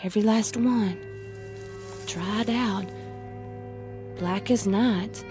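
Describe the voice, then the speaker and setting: A woman's voice: mid-range voice, very slow, warm tone, soft background music. A woman playing a stubborn, nervous young rural American sweetheart in a radio drama. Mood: uneasy. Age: young adult.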